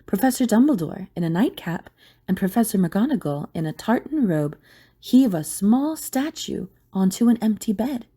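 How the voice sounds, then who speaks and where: A woman's voice: mid-range voice, expressive, normal speed, mellow, breathy edge. A woman reading scripted, dramatic story narration for an audio drama.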